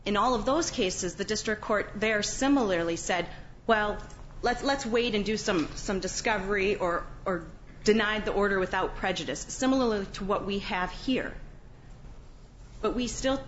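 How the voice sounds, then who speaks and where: A woman speaking as a lawyer, giving a formal, firm and measured argument to an appeals court. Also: mid-range voice. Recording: muffled courtroom recording, faint background noise.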